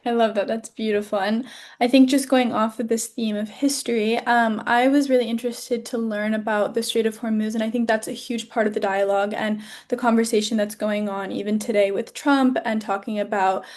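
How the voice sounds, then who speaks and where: Young woman host with a high voice. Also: steady tone, brisk, a little mellow. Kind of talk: scripted introduction and interview questions.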